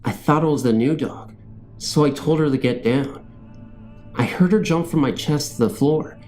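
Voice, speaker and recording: Mellow male ghost story narrator over faint music. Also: fairly high pitch, normal speed. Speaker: narrator of scary stories.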